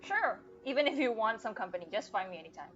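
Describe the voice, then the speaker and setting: Casting: female game streamer, slightly high, informal commentary, lo-fi mic over game music. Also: expressive, fast. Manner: informal, slangy, reacts aloud to the game. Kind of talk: casual live gameplay commentary. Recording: dull lo-fi sound, game music behind.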